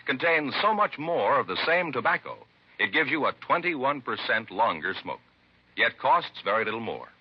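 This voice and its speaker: A male announcer delivers an emphatic 1950s radio sales pitch. His intonation swings widely and his voice has a slight rasp. It comes across dry and muffled, like an old broadcast. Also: sing-song, normal speed, dry recording. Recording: dull vintage radio recording.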